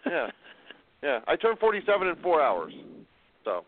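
A man's voice: a little high, wildly swooping pitch, moderate pace, dry recording. A man in his forties hosting an interview, chatty and rambling as he sets up questions. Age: 40s. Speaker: podcast host. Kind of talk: casual interview. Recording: tinny phone-line sound, dry.

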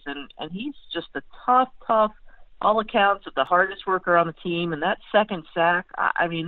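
A woman's voice: lowish voice, dry recording. Woman sports analyst on a tinny phone line. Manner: halting, with frequent repeated words. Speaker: newspaper beat writer, guest analyst. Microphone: phone call.

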